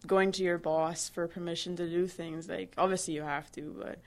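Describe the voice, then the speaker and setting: A woman's voice: lowish voice, steady tone, moderate pace. A woman in her twenties from Barbados, answering interview questions in a chatty way, with many fillers and restarts. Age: 20s. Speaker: interviewee, new employee.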